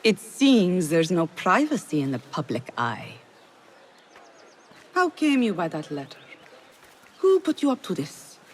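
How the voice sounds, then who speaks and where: A woman's voice: on the low side, big pitch swings, unhurried, pauses often. A woman playing a proud, witty, flirtatious poet in a video game, speaking in eloquent, theatrical phrases. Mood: wry, probing. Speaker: game character, poetess.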